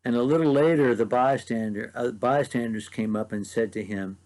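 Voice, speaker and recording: A man's voice: medium pitch, normal speed, darkish tone, rough recording. A man with an Ohio Valley accent giving a short devotional Bible teaching in an earnest, conversational way, with frequent ums. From mid-Ohio Valley, USA. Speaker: preacher.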